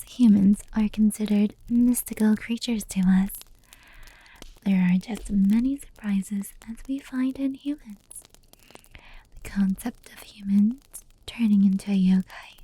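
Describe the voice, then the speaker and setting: A woman playing a gentle fox-demon yokai in an ASMR roleplay, telling stories slowly and intimately in a soft, breathy whisper. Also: medium pitch, rough recording. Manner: slow, intimate, unhurried.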